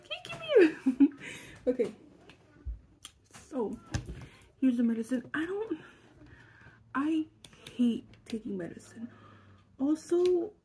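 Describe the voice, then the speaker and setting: A sick young woman in her twenties, hoarse and congested, chatting casually to a close camera at home, cursing and coughing now and then. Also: high voice, sing-song, slow pace, pauses often, dark tone, slight background noise. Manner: chatty, swearing, coughs between lines. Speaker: vlogger. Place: living room at home. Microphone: camera close to face.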